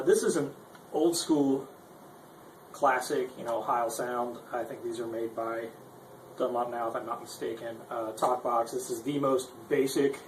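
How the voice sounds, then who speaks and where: A man giving an informal, unscripted gear demonstration in a rambling, self-deprecating, conversational way, as the presenter of a guitar tutorial. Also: medium pitch. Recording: echoey room with faint noise.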